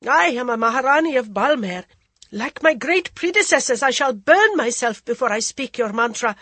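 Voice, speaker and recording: Woman reading a high-born queen, formal, high and strained, gravelly edge. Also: moderate pace, no echo. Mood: defiant. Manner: pleading then defiant, formal speech. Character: proud desperate middle-aged queen, a woman.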